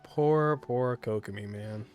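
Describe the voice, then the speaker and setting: A man's voice: medium pitch, takes it slowly, a little mellow, faint music behind. A man giving casual gameplay commentary in a laid-back way, with short muttered asides. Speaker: gaming streamer.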